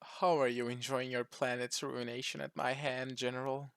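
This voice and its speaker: A man's voice: mid-range voice, sing-song, measured pace, fairly bright tone, no echo, studio quality. A man voicing a cruel, haughty alien conqueror in an audio story, with mock courtesy and menace. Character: arrogant sadistic alien war champion, cruel conqueror.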